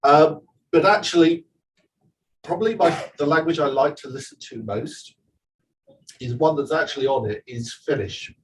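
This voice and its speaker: A man's voice: on the high side, normal speed, long pauses, distant mic, slight background noise, low-quality recording. A man, the author, talking casually at a live event, chatty and digressive with many restarts and fillers. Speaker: author giving a talk. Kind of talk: live talk and audience Q&A. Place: event venue with audience.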